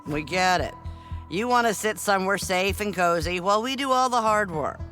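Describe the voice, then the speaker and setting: A sarcastic adventuring companion in a video game. His voice is bright and forceful, with blunt, dry delivery and faint music underneath. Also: high-pitched, animated, moderate pace. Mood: sardonic. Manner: dry, cutting. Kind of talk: game voice acting.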